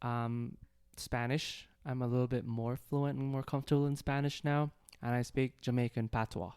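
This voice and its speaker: A man co-hosting a casual podcast chat, answering in an easygoing, measured way and laughing often. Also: mid-range voice, steady tone, a little mellow, clear, resonant tone, no room sound. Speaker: podcast co-host.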